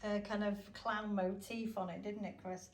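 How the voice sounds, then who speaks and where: A woman's voice: mid-range voice, little pitch movement, normal speed, recorded from a distance. A woman chatting casually as a vlog host, often tagging questions onto what she says. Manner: chatty, seeking agreement with tag questions. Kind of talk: casual chat to camera.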